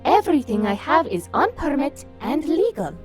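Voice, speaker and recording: A woman's voice: sits high, very animated, moderate pace, darkish tone. A woman voicing a young quarian woman who teases her friend in a wry way, with music behind her. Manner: teasing, wry.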